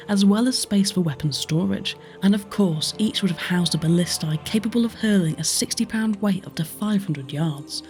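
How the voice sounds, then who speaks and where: A woman's voice: lowish voice, darkish tone. British woman presenting a history tour over music. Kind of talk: scripted history tour narration. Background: background music.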